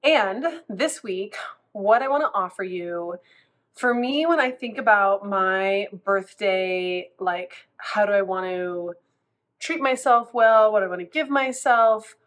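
A woman's voice: medium pitch, slow pace, fairly bright tone. A woman in her thirties, a food coach, chatting casually in a vlog, a bit congested from a cold and rambling with restarts.

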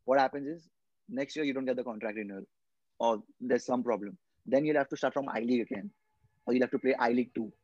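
A man's voice: mid-range voice, fast, darkish tone, no echo. A young man from India, a guest footballer in a casual podcast chat, explaining earnestly and often repeating his words as he thinks. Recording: dull lo-fi podcast mic.

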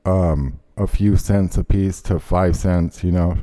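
A man's voice: sits low, fairly even intonation, takes it slowly, dark tone. A man hosting a talk show, ranting in a rambling, indignant way with lots of fillers. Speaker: show host. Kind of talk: ranting casual chat.

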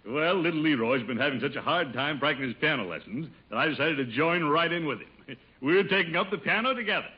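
An actor playing a pompous, easily flustered middle-aged uncle in a 1950s radio sitcom, blustering and stammering, on an old broadcast recording. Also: male voice, on the high side, expressive, fast talker, breathy edge, highs cut off. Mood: boastful. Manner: blustery, hesitant stammering, chuckling.

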